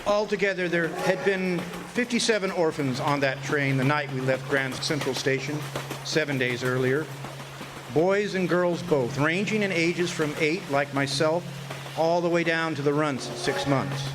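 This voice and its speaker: Man acting a higher-pitched, reminiscing former orphan. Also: unhurried, background noise, close to an everyday voice. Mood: reflective. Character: grown former orphan recalling his boyhood journey. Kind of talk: radio play narration, reminiscing.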